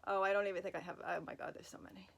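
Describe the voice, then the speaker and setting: A woman's voice: medium pitch, little pitch movement, racing, vocal fry, a bit bright. A woman with a Brooklyn accent, chatting casually and rambling with fillers as she answers fan questions from her bed, recorded on a phone. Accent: Brooklyn New York. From Brooklyn, New York. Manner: chatty, rambling, self-deprecating, lots of fillers and asides.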